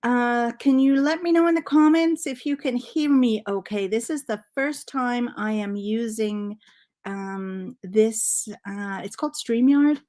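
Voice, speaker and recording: A middle-aged woman, originally from Liverpool, who now speaks with a Canadian accent and hints of her old one. She hosts a relaxed live stream from home, greeting viewers and rambling cheerfully with plenty of ums and asides, recorded on a laptop mic. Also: fairly high pitch. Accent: Canadian English with faint Liverpool traces. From Liverpool, England. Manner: chatty, excitable, many fillers and asides. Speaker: knitting channel host. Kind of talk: casual live-stream chat.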